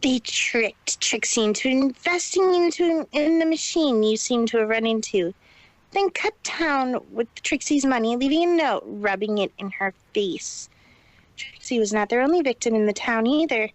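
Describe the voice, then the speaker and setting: A woman's voice: a little high, lively intonation, moderate pace, dry recording, close to an everyday voice, poor audio. A woman voicing a boastful, proud show-off pony mare who talks grandly about herself in a fan fiction reading. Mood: indignant. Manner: refers to herself in the third person, haughty.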